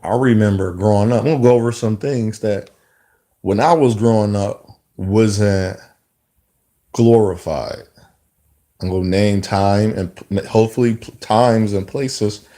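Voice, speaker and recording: A man's voice: on the low side, a little slow, mellow. A man in his mid-thirties talking to camera in a rambling, reflective monologue, often restarting his sentences. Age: 30s. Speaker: vlogger. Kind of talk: casual spoken monologue.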